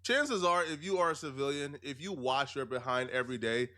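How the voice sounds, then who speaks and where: A man's voice: medium pitch, a little fast, sharp tone. A man giving explanatory commentary in an emphatic, rhetorical way with streetwise phrasing.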